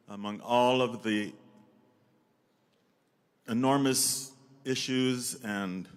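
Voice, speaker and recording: Low-voiced guy giving an earnest slow thank-you speech. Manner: earnest, repetitive phrasing. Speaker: freed hostage giving a speech. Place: large banquet hall.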